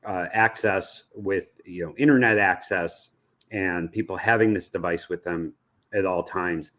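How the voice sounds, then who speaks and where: Male expert guest, American accent, deep voice, measured and halting explanatory delivery. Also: pushed and bright. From United States. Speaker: interview guest, research company president. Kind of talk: explanatory interview answers.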